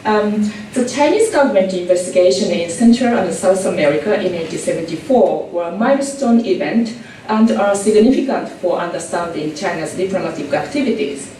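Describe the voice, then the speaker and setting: A woman's voice: mid-range voice, mellow, a little hiss or hum. A woman from Japan with a Japanese accent, giving an academic conference talk in English with frequent ums and uhs. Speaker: conference presenter. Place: conference room. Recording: echoey hall, mic at a distance.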